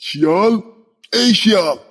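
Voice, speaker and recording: A man's voice: high voice, animated, unhurried, fairly bright tone, full character voice. A man voicing a strong, cruel, greedy tiger in a cartoon, domineering and gloating as he bosses others around. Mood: bossy. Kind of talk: scripted cartoon dialogue.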